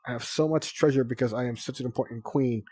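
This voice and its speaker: A man voicing a small young female dragon hammily playing a grand, loud queen. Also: medium pitch, fast, slightly rough, dry recording, close to an everyday voice. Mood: pompous.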